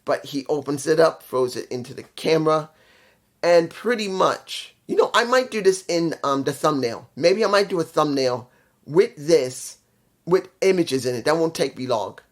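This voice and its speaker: Man, nasal, congested, slightly higher voice, casual, rambling, restarts. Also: animated, moderate pace. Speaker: wrestling show reviewer. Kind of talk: casual spoken review.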